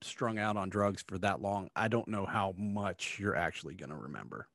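A man's voice: deep voice, normal speed, creaky, fairly bright tone, no echo, clean studio sound. A middle-aged man co-hosting a casual book review podcast, blunt and skeptical, swearing as he talks. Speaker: podcast co-host. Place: indoor room, remote video call.

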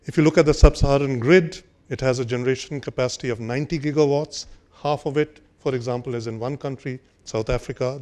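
An older man giving a formal speech at a podium on a conference hall stage, measured and hesitant, with frequent fillers and restarts. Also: mid-range voice, darkish tone. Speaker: conference speaker. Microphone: podium microphone.

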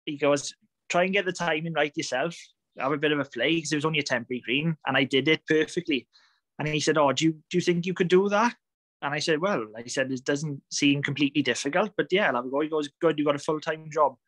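A Welsh man in his thirties tells his story in easy, chatty talk, with frequent laughs and plenty of ums. His voice sits a little high for a man. The sound is close and dry, as over a video call. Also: quick pace, fairly bright tone, dry recording. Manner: chatty, laughs often, many fillers. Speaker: podcast guest, greenkeeper. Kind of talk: casual storytelling chat.

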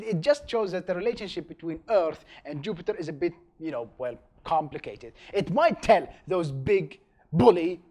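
A man with an Arabic accent explains astronomy to camera with emphatic energy. His voice sits high and swoops widely from word to word. It is recorded cleanly with a close mic in a studio. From Bahrain. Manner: animated, emphatic, gestures while explaining. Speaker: science video host.

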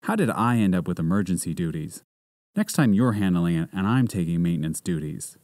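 A man's voice: low voice, very animated, fairly quick, a little mellow, no room sound, studio quality. A man voicing a weary, grumbling male android in audiobook dialogue, muttering and coaxing himself along.

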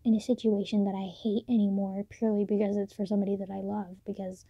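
A teenage woman talking softly late at night in her bedroom, halting with many fillers, recorded on a built-in mic. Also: medium pitch, flat delivery, slightly rough, no echo. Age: late teens. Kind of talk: late-night personal vlog. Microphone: phone or camera built-in mic, close. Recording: dull lo-fi built-in mic, dry.

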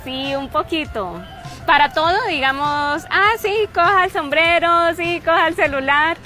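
A young woman from Santander, Colombia, with a Santander accent, telling stories in an outdoor street interview with a forceful, emphatic way of talking. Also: extremely high pitch, measured pace, faint music behind. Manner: forceful, emphatic delivery with frequent "¿sí?" tags. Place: outdoor park with trees.

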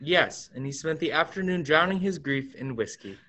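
A man's voice: a little high, expressive, moderate pace, pushed and bright. A teenage boy playing an authoritative, businesslike senior angel in a staged radio play. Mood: calm, instructive.

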